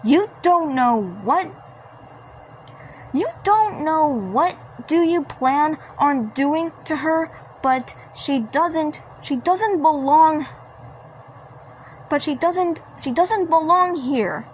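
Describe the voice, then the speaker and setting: A woman plays an indignant young cartoon heroine, high-pitched and confrontational. She stumbles and repeats her demands at a slow pace, protective of her sister, in an amateur fandub. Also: animated, a little hiss or hum, low-quality recording. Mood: defiant anger. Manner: stammering, repetitive, confrontational.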